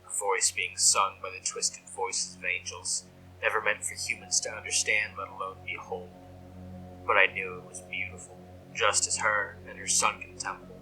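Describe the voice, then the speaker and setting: A man playing an obsessed, reverent dreamer logging eldritch nightmares in a brooding, hushed horror monologue that slips into whispers. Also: deep voice, flat delivery, moderate pace, low-quality recording. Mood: hushed reverence. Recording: music behind the voice.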